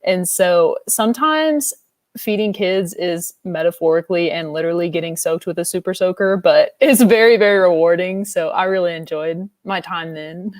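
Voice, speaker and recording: A young woman telling a funny story on a youth panel with a lively, self-mocking manner. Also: lowish voice, moderate pace, dry recording, clean studio sound. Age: young adult.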